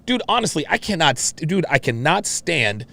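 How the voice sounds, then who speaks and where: Male commentary host, brash and foul-mouthed, higher-pitched voice, very expressive, mocking delivery. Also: normal speed, gravelly. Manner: brash, mocking, profane, repetitive. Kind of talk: reaction commentary on a played clip.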